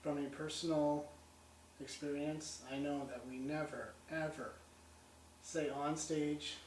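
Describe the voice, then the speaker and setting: A man's voice: fairly high pitch, flat delivery, slow pace, slight background noise. A man investigating a haunted theatre, chatting in a friendly, lightly teasing way to a spirit he hopes is present. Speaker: paranormal investigator. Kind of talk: talking to a spirit. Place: large old theatre, indoors. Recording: echoey room from a distance.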